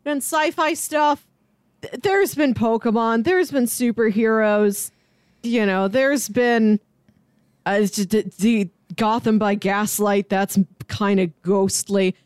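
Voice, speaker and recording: A woman's voice: a little high, fairly even intonation, slow pace, clean tone, dry recording, studio quality. A woman co-hosting a podcast in casual, conversational chat. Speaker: podcast co-host.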